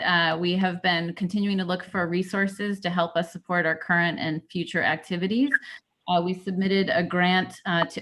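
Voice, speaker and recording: Female director, lowish voice, halting report delivery full of fillers, video call. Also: a bit bright. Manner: halting, with frequent fillers and restarts. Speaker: executive director giving a report. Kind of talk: formal board meeting report.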